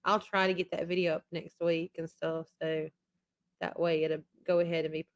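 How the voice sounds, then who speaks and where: A woman hosting a relaxed live stream, her voice low-set and fairly level. She rambles and circles back over the same points. She is losing her voice after being sick, and it sounds a little worn. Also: normal speed. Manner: rambling and repetitive. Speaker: YouTube channel host. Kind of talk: casual live-stream chat.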